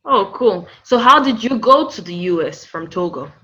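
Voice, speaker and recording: A woman's voice: mid-range voice, lively intonation, moderate pace, sharp tone, slightly rough, highs cut off. A woman hosting a channel, asking interview questions in a friendly, chatty way.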